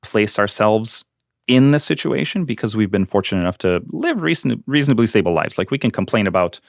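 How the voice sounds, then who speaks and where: Interview guest: a low-voiced man who grew up speaking Ukrainian, relaxed and plainspoken, with a dry close sound. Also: vocal fry, dry recording. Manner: explains fast and plainly with fillers and restarts. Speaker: guest, volunteer on a missing-persons website. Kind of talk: casual interview talk.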